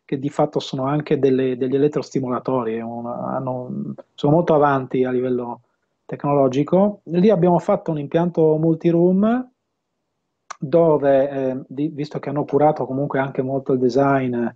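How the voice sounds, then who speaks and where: A man in his fifties talking casually in an interview, often pausing mid-sentence as he explains things. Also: medium pitch, steady tone. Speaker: audio system installer and dealer being interviewed. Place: home room with bookshelves, indoors. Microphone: earphone headset on a video call. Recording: dull, lo-fi video call audio.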